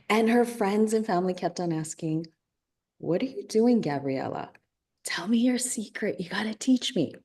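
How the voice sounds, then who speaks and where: Emphatic woman giving a motivational pitch. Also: a little mellow. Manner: emphatic, repeats key lines slowly. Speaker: webinar co-host. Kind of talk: motivational webinar talk.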